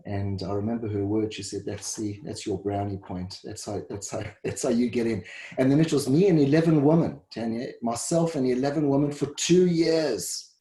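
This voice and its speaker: A middle-aged South African man, a dietitian and first responder, answers interview questions in a halting way and often repeats words. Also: medium pitch, mellow. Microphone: video call. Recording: roomy echo.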